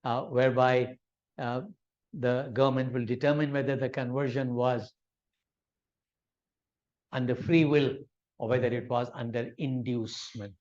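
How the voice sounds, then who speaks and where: A man's voice: medium pitch, steady tone, unhurried, pauses often, a little mellow, lo-fi sound. A man whose first language is Urdu, lecturing on law in an explanatory way with examples and frequent fillers. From Malaysia. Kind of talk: academic lecture.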